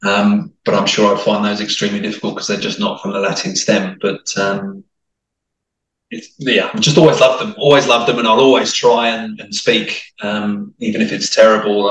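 A man's voice: fairly low pitch, little pitch movement, moderate pace, a bit bright, far from the mic, slight background noise. A middle-aged man whose first language is Italian, with a mixed, hard-to-place accent, talking casually as an interview guest, full of restarts and repeated words. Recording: echoey, from off the mic.

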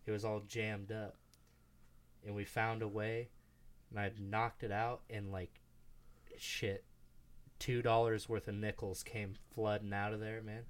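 Man, late twenties, animated slangy storyteller, casual co-host. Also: fairly low pitch, a little slow, pauses often. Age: 20s. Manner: animated storyteller, slangy, calls people dude. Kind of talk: casual chat.

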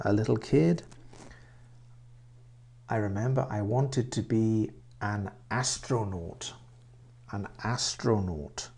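Slow gravelly man, English-Welsh accent. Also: medium pitch, dark tone. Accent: mix of English and Welsh. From England. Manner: repeats words and asks the listener questions. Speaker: English teacher. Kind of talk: slow, simple English for learners.

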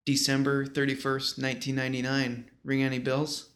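A man's voice: medium pitch, monotone, normal speed, fairly bright tone. An American man in his thirties from small-town New York, telling stories casually in a slangy, rambling, informal way. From small-town western New York, United States. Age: 30s. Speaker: guest. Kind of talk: casual conversational storytelling.

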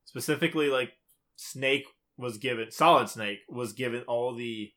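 A man's voice: mid-range voice, normal speed, bright, forceful tone. A man co-hosting a podcast, chatting casually about a video game in an informal, opinionated way. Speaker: podcast co-host.

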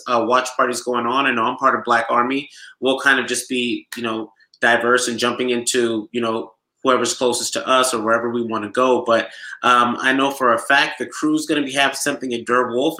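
Laid-back man chatting, bright echoey voice. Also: mid-range voice. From United States, Nigerian heritage. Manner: laid-back, filler-heavy, enthusiastic. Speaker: supporter group DJ and show regular. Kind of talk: casual podcast chat.

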